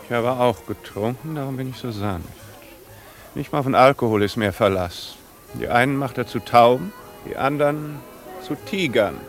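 An actor playing a languid, world-weary duke with unruffled deadpan wit in a 1950s German radio play. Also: male voice, mid-range voice, normal speed, soft background music, close to an everyday voice.

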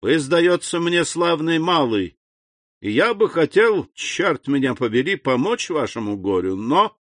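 A man plays a calculating storybook villain. He talks deliberately, slipping between scorn and false friendliness, mocking and insinuating, in a dry, close sound. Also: fairly high pitch, sing-song, fairly bright tone, no room sound, voice pushed up, exaggerated voice.

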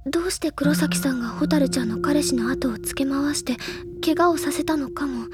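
Bookish teen heroine, played by a woman, audio drama: very high voice, excitable, theatrical, bossy, over background music. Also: normal speed, close to an everyday voice. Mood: worried. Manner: expressive, feminine phrasing, theatrical. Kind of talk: Japanese audio drama acting, anime style. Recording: studio drama with music behind.

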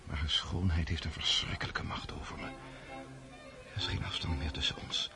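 A young nobleman with a low voice, full of self-doubt and romantic torment. He is hesitant and introspective, as if thinking aloud in a radio drama. Faint music sits behind him. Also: animated, normal speed. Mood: tense longing. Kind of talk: radio drama acting with inner monologue.

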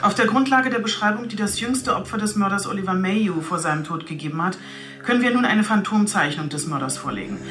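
Woman acting police chief inspector, radio drama, middle pitch, earnest, matter-of-fact, determined. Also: fast talker, music in the background, lightly acted. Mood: formal, composed. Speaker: police detective. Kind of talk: scripted radio drama dialogue.

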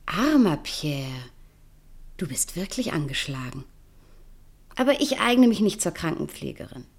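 An actress playing a cool, sardonic, secretly tender Parisian woman in a German radio play, deliberate and intimate in manner. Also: fairly low pitch, big pitch swings, husky, heavily acted.

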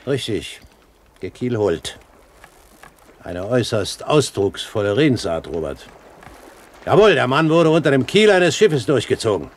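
An actor playing a commanding pirate captain in a radio play, courtly, measured and sardonic in manner. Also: male voice, mid-range voice, sing-song. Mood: stern, sardonic. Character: suave, commanding pirate captain and great-uncle. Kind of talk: German radio play acting. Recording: faint background noise.

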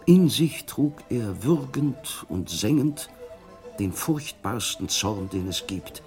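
A man narrates a radio play in a grave, hushed tone, slow and gravelly with a lowish voice. Soft music lies faintly behind him. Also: animated.

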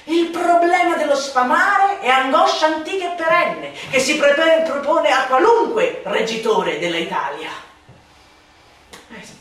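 A woman performing a satirical literary monologue for radio theatre in a declamatory, sardonic manner, swinging between hushed and shouted delivery. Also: sits high, lively intonation, a little slow. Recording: very echoey room, distant mic, faint noise.

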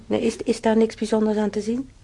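A man's voice: high voice, flat delivery, a little fast, gravelly, warm tone. An actor in a radio play voicing a chatty, stubborn old German-born man who rambles through his memories with hesitations. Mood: musing, chatty. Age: older. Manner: rambling, reminiscing, with hesitations and tag questions. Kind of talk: conversational radio play acting.

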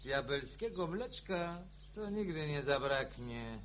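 A man's voice: fairly high pitch, lively intonation, slow pace, fairly bright tone, slight background noise. An actor playing a cheeky village wag with a Polish accent, drawling his teases in a radio drama. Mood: teasing. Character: cheeky, teasing village wag fond of drink.

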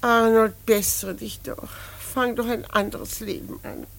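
A woman's voice: on the high side, fairly even intonation, measured pace, vocal fry, rough recording. An actress playing an anxious, reproachful middle-aged mother in a radio drama, pleading and scolding insistently.

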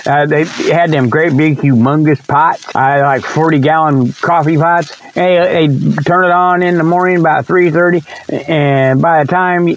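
A man's voice: on the high side, steady tone, a little slow, highs cut off, a little hiss or hum. A man hosting a talk show in a rambling, folksy, repetitive monologue, meandering from one thought to the next. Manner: folksy, repetitive, meandering, often chuckles to himself. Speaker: host of a radio-style talk show. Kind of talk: rambling folksy monologue, tongue-in-cheek sales pitches.

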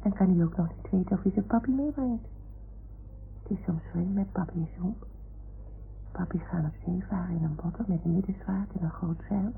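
Radio play role: naive little toy dog, inquisitive and childlike, creaky voice, tinny noisy old recording. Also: female voice, lowish voice, a little fast. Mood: naive, confiding.

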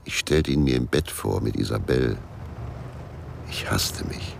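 A man's voice: extremely low pitch, a little slow, creaky, full character voice. An actor playing a bitter, brooding abandoned husband who tells his own story tersely in a German radio crime drama. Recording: noisy, with ambient sound.

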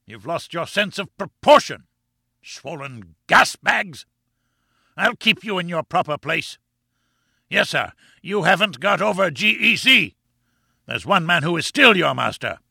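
A man voicing a furious, bullying professor in an audiobook, pompous and menacing, with a rumbling, booming delivery. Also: big pitch swings, a little slow, vocal fry, pushed and bright, husky, no echo, clean studio sound, heavily acted. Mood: raging contempt. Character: short, barrel-built furious professor, bullying and contemptuous. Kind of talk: audiobook character acting.

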